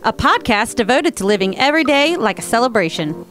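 A woman's voice: on the high side, very animated, brisk, over music. A woman hosting a casual podcast, chatty and giggly, often saying "like". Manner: chatty, giggly, says "like" a lot. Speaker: podcast host. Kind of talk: casual chat.

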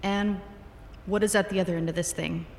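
A woman's voice: on the low side, steady tone, fairly quick, darkish tone, slight background noise, natural voice. A woman playing a practical, questioning safety officer in an audio drama, reasonable and wry. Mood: pragmatic. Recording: long reverb, faint music. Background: faint music.

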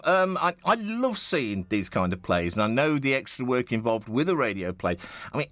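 A man's voice: on the high side, fairly bright tone, no room sound. A man hosting a conversational radio interview in a chatty, rambling, enthusiastic way.

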